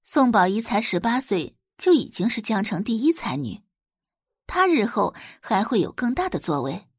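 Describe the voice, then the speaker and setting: A woman's voice: mid-range voice, big pitch swings, normal speed, breathy edge, no echo, studio quality. A woman narrating a scripted audiobook drama in a storytelling style.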